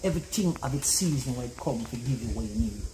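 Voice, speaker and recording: A man's voice: mid-range voice, animated, fast, noisy background. Emphatic Jamaican man talking outdoors. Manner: emphatic, repeats "you see it?", gestures while talking. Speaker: guest. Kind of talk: animated outdoor conversation. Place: open-air pavilion in a garden.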